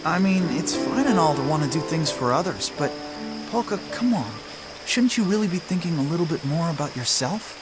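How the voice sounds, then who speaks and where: A man's voice: on the high side, expressive, brisk, music in the background, natural voice. A man playing an earnest, protective teenage boy in a video game cutscene, caring, impassioned and a little awkward. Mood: concerned.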